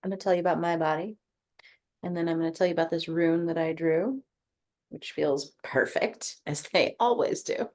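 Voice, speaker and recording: A woman's voice: low voice, normal speed, darkish tone. A woman sharing in a group coaching video call, talking in a casual, reflective way, full of fillers and easy swearing. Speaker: coaching participant.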